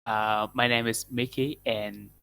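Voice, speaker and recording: A young man from Vietnam, introducing himself hesitantly in halting learner's English in an online class. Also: on the low side, fairly even intonation, vocal fry, pushed and bright, a little hiss or hum. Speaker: student. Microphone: online voice chat.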